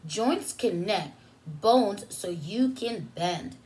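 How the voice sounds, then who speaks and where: A woman's voice: medium pitch, lively intonation, a little mellow, low-quality recording. A woman reading aloud to young children as a preschool teacher, explaining as she goes and posing simple questions. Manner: instructional, asks questions and pauses for answers. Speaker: preschool teacher hosting story time. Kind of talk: read-aloud story time for young children with teaching asides.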